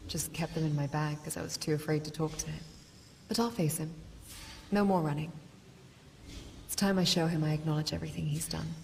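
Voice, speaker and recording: A solemn female adventurer played by a woman, low and creaky in voice, earnest and measured. She sounds like a remorseful but resolute fantasy game character, set in a long hall reverb. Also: fairly even intonation, darkish tone. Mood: resolute, hopeful. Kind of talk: dramatic video game character voice acting. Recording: long hall reverb, faint background noise.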